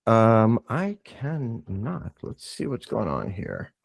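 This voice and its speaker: Relaxed man hosting a video call. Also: lowish voice, takes it slowly, gravelly, no room sound, studio quality. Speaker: host. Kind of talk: casual business video call.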